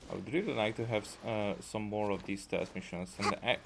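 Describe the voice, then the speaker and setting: A man giving casual gameplay commentary in a hesitant, halting way, as if thinking aloud. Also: fairly low pitch, steady tone, gravelly, background noise. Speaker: gaming video creator. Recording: game music behind the voice. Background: video game music.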